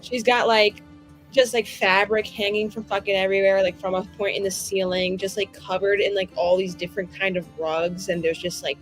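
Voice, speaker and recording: A woman improvising narration as a tabletop game master, informal and halting as she pieces descriptions together. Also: mid-range voice, steady tone, soft background music, poor audio.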